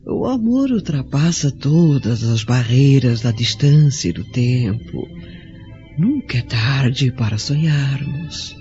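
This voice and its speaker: An actor playing a devoted, gentle old maidservant in a radio drama, with a creaky voice and tender, reverent delivery. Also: male voice, mid-range voice, huge pitch swings, takes it slowly. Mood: tender, consoling. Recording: dull lo-fi recording, music behind.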